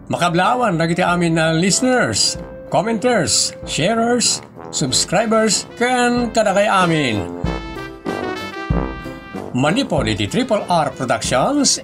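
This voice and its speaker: Animated male drama announcer over music. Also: sits high. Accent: northern Philippine. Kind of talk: scripted radio drama introduction.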